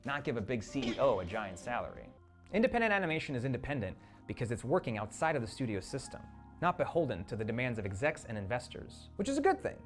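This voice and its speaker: A narrator delivers a scripted video essay quickly and persuasively. The pitch moves around a lot, and faint music plays underneath. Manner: brisk, persuasive, lively delivery.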